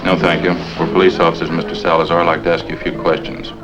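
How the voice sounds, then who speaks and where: An actor playing a steady, low-key police detective in a 1950s drama, asking brief, plain questions. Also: male voice, very fast, loud music behind the voice, close to an everyday voice. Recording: dull, noisy vintage 1950s soundtrack.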